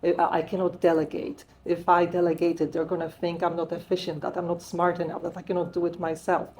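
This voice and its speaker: Polish woman telling her story, lowish voice. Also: level tone, fast, darkish tone, slight background noise. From Poland. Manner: talkative, many "uh" fillers, earnest. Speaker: guest, wellbeing strategist. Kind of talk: interview, personal story.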